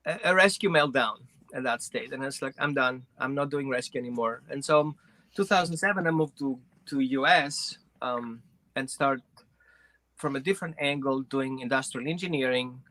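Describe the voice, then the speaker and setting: Guy from greece telling his story, bright voice, kinda halting, dry close sound. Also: mid-range voice, no echo, poor audio. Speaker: guest, dog trainer. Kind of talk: personal storytelling in an interview.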